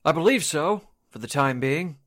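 A man's voice: a little high, animated, moderate pace, fairly bright tone. A man playing a reluctant, hedging radio station official in a 1940s-style radio drama. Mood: hesitant. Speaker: actor in an amateur radio drama re-creation.

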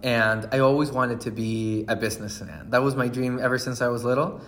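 A man's voice: medium pitch, steady tone, brisk, a bit bright. A man, a brand founder telling his story in a casual interview, laughing often and restarting his sentences. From Chile.

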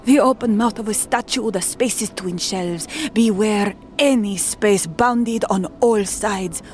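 An actress playing a frightened, half-mad woman with a Spanish accent, halting and haunted, slipping Spanish words into her English. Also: medium pitch, a little mellow, slight background noise, lightly acted. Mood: fearful warning. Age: middle-aged. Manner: halting, haunted, mixing Spanish into English, often dropping to a whisper. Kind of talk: audio drama acting.

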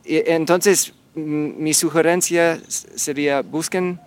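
A middle-aged man chatting as a guest, giving advice in a halting way with many fillers. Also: fairly high pitch, fairly even intonation. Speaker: guest, expat parent. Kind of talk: casual interview chat.

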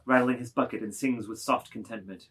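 A man narrating stage directions as the narrator of a dramatised literary reading, with a slightly husky voice. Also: mid-range voice, steady tone, a little fast, fairly bright tone.

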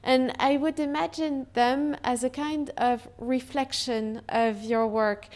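High-voiced, slow-speaking woman interviewer. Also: steady tone, darkish tone. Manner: long, carefully built questions. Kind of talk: measured interview questions.

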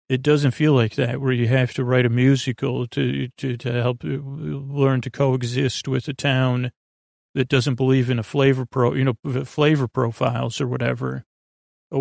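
Gravelly man rambling through a bedtime monologue. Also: mid-range voice, a little mellow, no echo, clean studio sound. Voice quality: creaky, gravelly. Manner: halting, digressive, trails off and restarts sentences. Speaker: podcast host.

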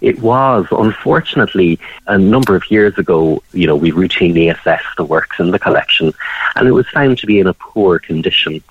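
A low-voiced man explains art in a measured, descriptive way for a radio interview. His husky voice comes over a dull, roomy remote feed. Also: expressive. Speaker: art gallery guest describing a painting.

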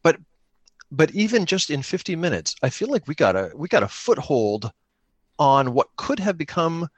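A man who podcasts about solo tabletop gaming, chatting casually and explaining in an animated way, repeating phrases for emphasis. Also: on the high side, moderate pace, no echo.

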